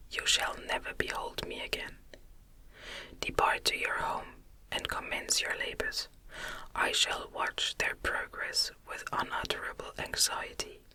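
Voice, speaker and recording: A woman voicing a solemn, anguished male monster from a gothic storybook in a breathy, deliberate whisper. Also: sharp tone.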